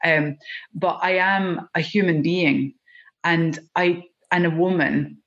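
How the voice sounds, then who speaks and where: A woman's voice: lowish voice, steady tone, slow pace, fairly bright tone, muffled recording. A Scottish woman speaking earnestly and heartfelt as a podcast guest, with many ums and restarts. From Scotland. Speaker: project organiser guest. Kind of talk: podcast conversation.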